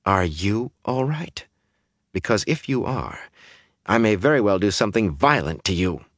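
Man voicing a fiery young woman, raised pitch. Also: moderate pace, dry recording, clean studio sound, close to an everyday voice. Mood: threatening. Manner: firm, forceful, commanding. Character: fiery, urgent young woman scolding her stubborn companion.

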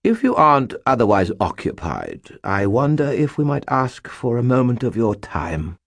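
A man's voice: mid-range voice, huge pitch swings, normal speed, no echo, studio quality, voice pushed up. A man voicing a pompous character who fakes an obnoxious posh British accent. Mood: condescending. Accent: British (put on). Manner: haughty, obnoxious.

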